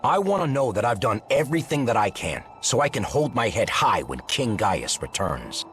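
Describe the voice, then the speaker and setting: A man's voice: mid-range voice, sing-song, moderate pace, a bit bright. An actor playing a stout-hearted, plain-spoken townsman in a video game. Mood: determined. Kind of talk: English video game voice acting. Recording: light music behind the voice.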